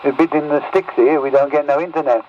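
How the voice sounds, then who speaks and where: A man's voice: fairly high pitch, fairly even intonation, fast talker. A man, a radio operator, chatting casually over a CB radio transmission. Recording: muffled, very noisy CB radio.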